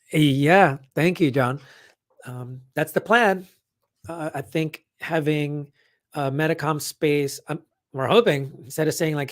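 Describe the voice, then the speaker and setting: Hoarse man hosting a casual live Q&A. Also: on the high side, dry recording, studio quality. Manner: rambling, many fillers and restarts, reads out comments. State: hoarse, losing his voice. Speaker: event organizer hosting a live update. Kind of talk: casual livestream Q&A.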